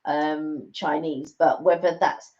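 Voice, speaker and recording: A woman's voice: deep voice, level tone, slow, a little mellow. A woman co-hosting a live stream in casual, informal chat, readily responding to her partner. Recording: dull, lo-fi livestream audio.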